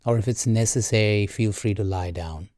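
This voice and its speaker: Low male voice, mellow tone, unhurried guided meditation, gentle delivery, dry close sound. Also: dry recording. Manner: calm, gentle, measured with long pauses. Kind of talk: guided meditation, slow and soothing.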